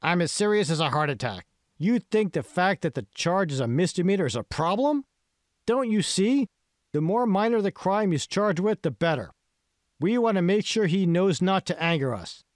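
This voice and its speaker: A man's voice: high-pitched, lively intonation, moderate pace, no room sound, clean studio sound, pitched above the performer's own voice. Man reading as scheming, emphatic tech billionaire. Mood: menacing, insistent. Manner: pointed, emphatic, rhetorical questioning. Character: scheming, menacing male tech billionaire, vindictive and insistent.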